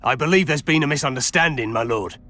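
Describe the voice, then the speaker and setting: An actor playing a deferential but insistent petitioner in a fantasy video game, speaking in a pleading manner. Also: male voice, mid-range voice, fast talker, sharp tone, soft background music, close to an everyday voice. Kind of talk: dramatic video game acting.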